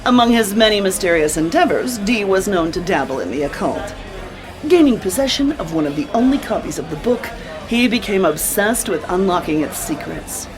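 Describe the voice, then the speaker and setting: A woman's voice: mid-range voice, animated, moderate pace, music in the background, close to an everyday voice, rough recording. An actress playing a flirtatious, dramatic woman who runs an occult bookstore in an audio drama. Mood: mysterious, storytelling.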